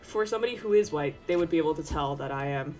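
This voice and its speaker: Low-voiced chatty young woman streamer. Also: lively intonation, fast, dark tone. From Taiwan. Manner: rambling, chatty, self-interrupting with tangents and laughs. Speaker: VTuber streamer. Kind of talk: casual livestream chat while working. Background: faint background music.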